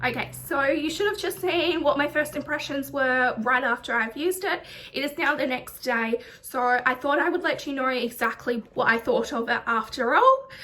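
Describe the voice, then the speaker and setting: A high-voiced woman chats through a casual product review vlog, with plenty of ums and likes. She sounds slightly nasal from a recent chest infection. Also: bright, forceful tone. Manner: chatty, lots of ums and likes. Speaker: beauty vlogger.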